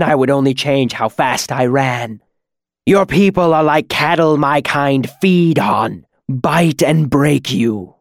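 A venomous captive demon from a fantasy tale, acted out by a male storyteller, hissing and rasping. He spits hateful, defiant words with shrieking desperation, his pitch pushed up a little, in a dry, close recording. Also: no echo. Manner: shrieking, spitting curses.

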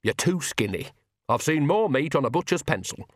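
A man voicing a gruff, stubborn older village witch, blunt and grudgingly kind, in an audiobook. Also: a little high, big pitch swings, normal speed, no room sound, clean studio sound, pitched above the performer's own voice. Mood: stiff, grudging. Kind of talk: audiobook character dialogue.

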